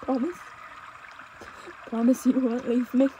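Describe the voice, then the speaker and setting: Higher-voiced, tender young runaway played by a woman. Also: little pitch movement, takes it slowly, dark tone, slight background noise. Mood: grieving. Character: devoted, anxious young pastor's daughter on the run. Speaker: audio roleplay performer. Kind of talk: intimate dramatic audio roleplay acting. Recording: nature ambience with trickling stream water. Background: outdoor ambience sound effects, running water.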